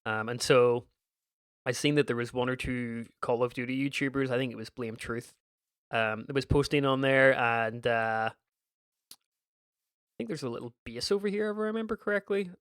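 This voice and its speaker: Man, 30s, Northern Irish accent with slight American twang, casual gaming commentary, meandering, middle pitch, dry close mic. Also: normal speed, fairly bright tone, smooth, no echo. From Northern Ireland. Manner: meandering and reminiscent, frequent restarts. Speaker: gaming YouTuber.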